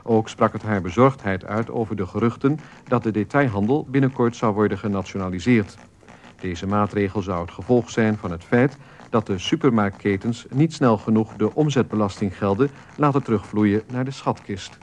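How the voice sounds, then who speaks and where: An actor playing a sober radio newsreader, delivering a bulletin in a formal, impersonal way. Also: male voice, lowish voice, moderate pace, a little mellow, close to an everyday voice. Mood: formal, neutral. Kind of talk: news bulletin within a radio play.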